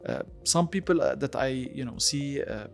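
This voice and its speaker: A man's voice: medium pitch, expressive, moderate pace, creaky. Man explaining over faint music. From Lebanon. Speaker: interview guest, plastic surgeon. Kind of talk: conversational podcast interview.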